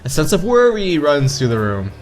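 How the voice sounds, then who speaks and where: A man's voice: on the high side, wildly swooping pitch, moderate pace. A man reading a visual novel aloud casually, playfully stumbling over words and adding side comments. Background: visual novel background music.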